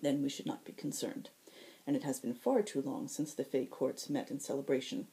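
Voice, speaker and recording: A woman voicing a gentle, composed fae woman who speaks formally and caringly in an audiobook story. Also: extremely low pitch, fairly even intonation, moderate pace, poor audio. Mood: gentle concern.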